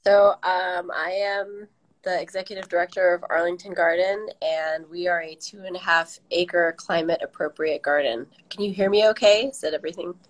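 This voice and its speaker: A woman's voice: lowish voice, fairly even intonation, moderate pace, poor audio. A middle-aged woman with an American accent answering interview questions reflectively over a video call from a room at home. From Southern California, US. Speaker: interview guest, garden director. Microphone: video call, device at arm's length.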